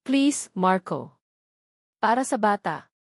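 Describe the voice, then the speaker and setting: A woman narrator playing a timid but determined young woman in a story, softly pleading. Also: mid-range voice, sing-song, slow pace, dry recording, studio quality, exaggerated voice. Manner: soft, pleading.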